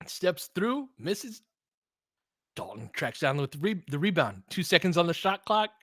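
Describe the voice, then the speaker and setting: Excitable man calling a game, dry sound. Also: a little high, no room sound. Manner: excitable, laughs often, quick asides. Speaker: fan play-by-play host. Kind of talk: live play-by-play commentary and chat.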